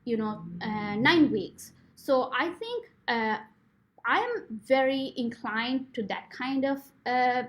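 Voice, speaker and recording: A woman's voice: high-pitched, takes it slowly. A woman in her thirties from Sri Lanka with a Sri Lankan accent, a postdoctoral researcher giving informal advice to prospective students, earnest and full of fillers. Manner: frequent fillers, earnest advising tone.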